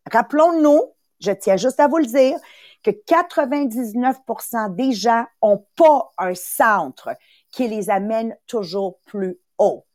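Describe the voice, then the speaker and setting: Animated Quebec-accented woman around sixty. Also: a little high, slow, dry recording, studio quality. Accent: Quebec French. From Italy. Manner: animated and emphatic, repeats phrases, acts out little voices. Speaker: podcast host and sales coach. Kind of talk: motivational talk.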